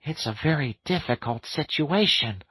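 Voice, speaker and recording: A thoughtful water rat, musing aloud in a mid-high, lilting voice. A man acts him, husky, in a dry recording. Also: lively intonation, sharp tone, no echo, exaggerated voice. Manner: musing, deliberate speech.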